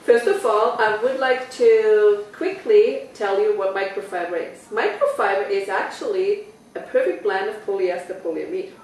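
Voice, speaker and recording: A woman's voice: medium pitch, moderate pace, darkish tone, very echoey, far from the mic, a little hiss or hum, poor audio. A woman from Austria with an Austrian German accent, giving a chatty product demonstration in a living room and asking her listeners questions. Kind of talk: home party product talk. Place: living room of a private house.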